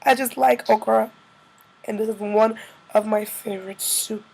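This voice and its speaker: A woman with a slightly hoarse, congested-by-a-cold voice, narrating a casual cooking tutorial as a vlogger. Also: on the high side, fairly even intonation, measured pace, a little mellow, poor audio.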